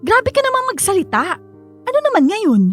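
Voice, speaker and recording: A high, very animated female voice plays a feisty young daughter in a radio drama. She is outspoken and supportive. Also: moderate pace, soft background music, exaggerated voice. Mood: indignant.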